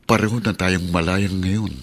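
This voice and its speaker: A man's voice: low voice, steady tone, moderate pace, slight background noise. A man playing an earnest, remorseful middle-aged father and devoted suitor in a heartfelt Tagalog radio drama. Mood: tender, pleading.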